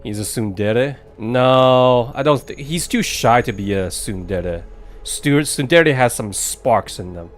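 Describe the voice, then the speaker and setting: A man doing casual live commentary as he plays a game, chatty and wry with halting reactions. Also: medium pitch. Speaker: game streamer. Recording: faint game music behind.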